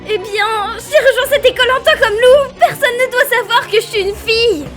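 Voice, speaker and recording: Woman acting very high, bright excitable young girl. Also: brisk, soft background music. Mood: panicked, pleading. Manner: expressive, gushing and exclaiming. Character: excitable young girl secretly posing as a male werewolf student. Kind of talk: anime fan dub acting.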